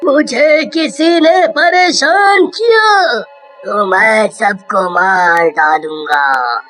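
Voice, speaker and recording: A woman's voice: a little high, animated, very slow, a bit bright, pitched above the performer's own voice, full character voice. A woman voicing the menacing, wailing ghost of a beggar man in a cartoon story, over music. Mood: wrathful, wailing. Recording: music behind the voice.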